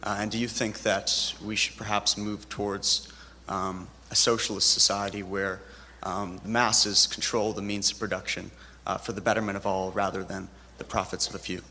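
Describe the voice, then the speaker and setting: A man asks a question from the audience in a hesitant way, stopping often for fillers. His voice is gravelly and flat, with a long hall echo and faint room noise behind it. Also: fairly low pitch, moderate pace. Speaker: audience member asking a question. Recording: reverberant hall with faint ambience.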